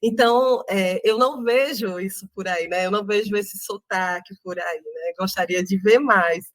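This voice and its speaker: A woman with a Northeastern Brazilian accent, an interview guest speaking thoughtfully with many pauses and tag questions. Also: mid-range voice, no room sound. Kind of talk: reflective interview talk.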